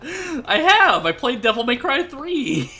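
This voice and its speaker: A man's voice: very high-pitched, very animated, slow, bright, forceful tone. Chatty, nasal young man gaming. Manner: chatty, laughs often, teasing. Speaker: streamer. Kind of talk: casual co-op gameplay chat.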